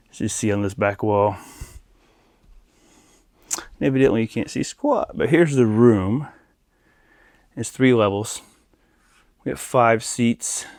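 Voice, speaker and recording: Low-voiced man giving a casual walkthrough. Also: a little mellow, no echo, clean studio sound. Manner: informal, addressing viewers as guys. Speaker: tour guide and vlog host.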